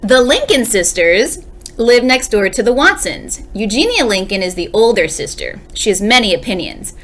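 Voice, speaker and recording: A woman's voice: mid-range voice, sing-song, normal speed, fairly bright tone, a little hiss or hum. A woman, a second grade teacher, reading a storybook aloud expressively and explaining it for young listeners. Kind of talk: expressive children's read-aloud.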